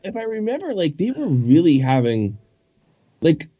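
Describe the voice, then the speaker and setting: A man in his forties with a Boston accent, a podcast guest telling rambling, profane stories full of halting restarts. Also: fairly high pitch, wildly swooping pitch, warm tone, slightly rough, no room sound, highs cut off. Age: 40s. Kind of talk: casual chat.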